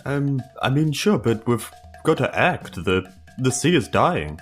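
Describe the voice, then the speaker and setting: A woman's voice: very deep voice, sing-song, measured pace, faint music behind. A woman with a New Zealand accent playing a tabletop game, chatting and role-playing in a casual, wry way. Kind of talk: casual improvised role-play chat.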